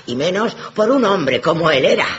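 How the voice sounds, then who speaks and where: A man's voice: a little high, expressive, moderate pace, a bit bright, muffled recording, slight background noise. An actor playing a callous, gleeful scavenger who sells a dead man's belongings in a radio drama, mocking and theatrical. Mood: gloating.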